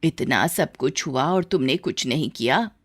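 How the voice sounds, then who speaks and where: Woman acting a nagging elderly mother-in-law, lowish voice. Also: expressive, unhurried. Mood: scolding. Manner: nagging, exasperated.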